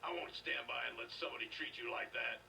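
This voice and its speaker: An actor playing a weary, protective middle-aged father who runs a hotel, earnest and gravelly, in a video game. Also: mid-range voice, quick pace, bright, forceful tone, far from the mic, a little hiss or hum, rough recording.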